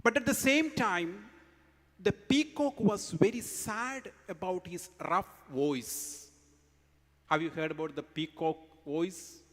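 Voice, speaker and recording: High-voiced Indian man in echoing hall. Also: fairly bright tone. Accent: Indian English. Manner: emphatic, slow storyteller who quizzes the students. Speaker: school speaker at an assembly. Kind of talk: morning assembly speech with storytelling. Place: large school hall.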